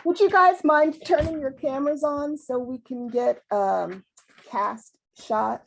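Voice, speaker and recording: A woman's voice: high voice, steady tone, takes it slowly, vocal fry, a little hiss or hum, low-quality recording. A woman chatting casually as the organizer of a group on a video call.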